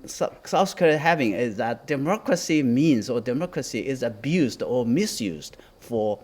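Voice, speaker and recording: A man commenting on a news panel. He speaks haltingly, with many restarts and fillers, and delivers his points in measured bursts. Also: mid-range voice, expressive, a little mellow.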